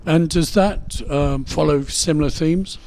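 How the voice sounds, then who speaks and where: A man hosts a studio radio interview. His voice is a little higher than most men's. He guides the conversation with measured questions. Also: darkish tone, a little hiss or hum.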